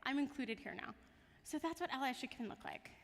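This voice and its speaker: High-voiced woman moderating in an echoey hall. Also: lively intonation, brisk. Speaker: panel moderator. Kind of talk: conversational panel introduction to an audience. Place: conference stage in a large hall.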